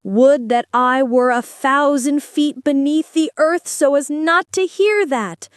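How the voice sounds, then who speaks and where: A woman's voice: sits high, slow pace, no echo, studio quality. A woman voicing a wicked stepmother who speaks anxiously, full of dread. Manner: anxious, trembling. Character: guilty, fearful wicked stepmother.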